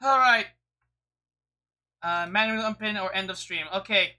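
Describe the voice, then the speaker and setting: A woman's voice: mid-range voice, measured pace, bright, forceful tone. A woman livestreaming a game with casual, rambling commentary, often stammering and swearing, on a streaming microphone.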